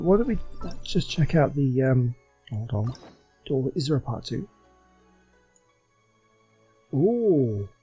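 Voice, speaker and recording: Mellow, husky man doing game commentary. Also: a little high, rough recording. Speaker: gaming channel host. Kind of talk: casual let's play commentary.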